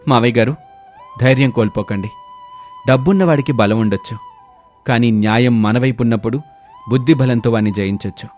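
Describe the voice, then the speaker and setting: A man playing a clever, confident young village man, reassuring and measured, in a moral story. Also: mid-range voice, a little mellow, faint music behind, natural voice. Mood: resolute.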